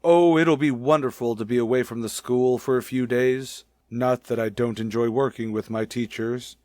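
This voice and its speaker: An actor playing a stuffy, bossy middle-aged high school principal in a vintage radio comedy, with formal, blustering delivery. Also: male voice, fairly even intonation, normal speed, darkish tone, no room sound, clean studio sound, lightly acted. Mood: contented.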